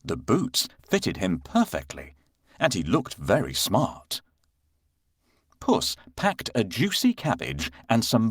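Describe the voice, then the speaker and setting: A man narrating a scripted children's story in a measured, expressive storyteller's manner. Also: lowish voice, breathy edge, dry recording, clean studio sound.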